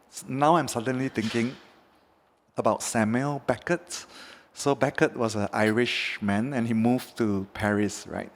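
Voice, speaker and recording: A man from Singapore speaking on a panel, hesitant and reflective, with frequent stutters and restarts. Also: mid-range voice. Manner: hesitant, slow, stuttering delivery. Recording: reverberant hall.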